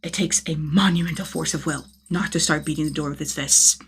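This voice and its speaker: A woman reading a story aloud as an expressive scripted narration, with a slightly husky voice. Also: low voice, brisk, slight background noise. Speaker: audiobook-style narrator of fan fiction.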